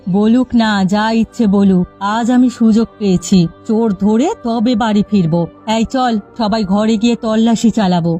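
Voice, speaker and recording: A determined, bossy village wife from a cartoon , acted by a woman. The voice is highish but soft-edged and dark in tone, over light music. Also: normal speed, hardly any pauses, close to an everyday voice. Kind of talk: Bengali cartoon voice acting. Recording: music under the voice.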